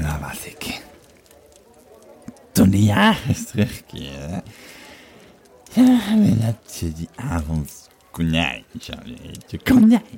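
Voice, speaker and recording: A man performs an aged legionary, tipsy and rambling, recounting army days in an audio play. The voice is low and slow, with theatrical swings in pitch. It halts and picks up again like a dramatic tavern storyteller. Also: a little mellow, heavily acted. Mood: tipsy storytelling. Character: old tipsy Roman legionary retelling his war days.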